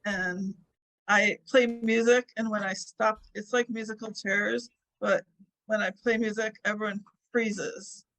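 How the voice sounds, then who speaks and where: A woman with a soft voice, answering as a therapy client on a video call in slow, brief replies. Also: medium pitch, fairly even intonation, low-quality recording.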